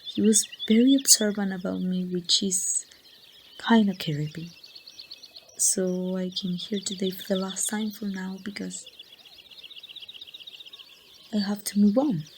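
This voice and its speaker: A woman playing a grieving young woman saying goodbye to a lost loved one in an ASMR roleplay, speaking softly and haltingly, at times near a whisper. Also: mid-range voice, steady tone, lightly acted. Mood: resigned, sad. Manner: soft, halting, breathy delivery slipping toward whispers. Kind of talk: amateur ASMR roleplay monologue. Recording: outdoor ambience with birdsong, faint noise.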